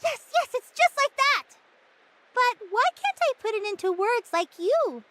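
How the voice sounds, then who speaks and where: A woman's voice: extremely high pitch, measured pace, background noise, heavily acted. A woman voicing an eager, gushing, stubborn little girl in an anime-style game. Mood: excited. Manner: excitable, gushing, childlike.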